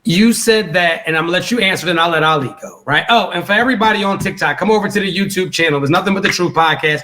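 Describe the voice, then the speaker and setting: A man's voice: high-pitched, fairly bright tone. A man hosting a live podcast debate, moderating in a laid-back, casual way with lots of fillers. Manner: laid-back, filler-heavy, asks "right?" often.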